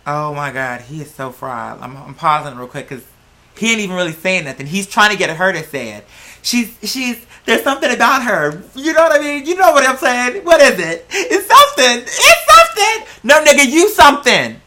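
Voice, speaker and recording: Female reaction vlogger. Bright, punchy voice, blunt and exasperated, casual delivery. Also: a little high, very animated, moderate pace. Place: indoors at a computer. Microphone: plug-in computer microphone.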